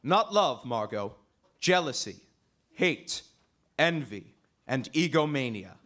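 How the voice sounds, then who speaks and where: A man acting a composed amateur detective in an old-time radio drama, measured and explanatory. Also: medium pitch, lively intonation, fairly bright tone. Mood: assured, serious. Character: composed male amateur detective, assured and reasoning.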